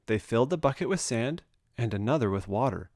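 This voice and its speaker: A man narrates a children's picture book in an expressive read-aloud style. His pitch rises and falls in a lively way, and the recording is close and dry. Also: fairly quick, no room sound. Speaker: storybook reader.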